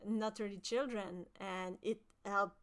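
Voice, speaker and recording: Hesitant high-voiced woman. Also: fairly even intonation, a little slow. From Quebec. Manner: hesitant, many fillers and restarts. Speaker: streamer. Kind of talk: casual chat with stream viewers.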